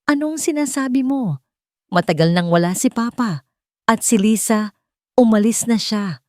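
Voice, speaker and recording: A woman voicing an anxious painter in an audio drama, defensive and forcing herself to sound calm. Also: a little high, a little slow, no echo, studio quality, voice pushed up. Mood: defensive fear. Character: guarded, anxious woman painter hiding what she knows.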